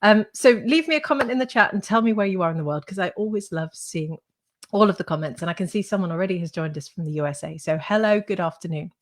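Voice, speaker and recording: A woman in her thirties hosts a live-stream interview from her home room over a webcam. She is slightly hoarse from a cold, but her chatty, welcoming manner stays upbeat. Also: on the low side, quick pace. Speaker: host and school founder. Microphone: webcam on video call.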